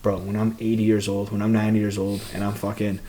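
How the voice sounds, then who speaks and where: A young man with a slightly low voice, talking loose and unhurried. He rambles through casual podcast chat, peppering everything with slang and swear words. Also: monotone, dark tone. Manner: laid-back, heavy slang and swearing, rambling. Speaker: podcast co-host, fighter.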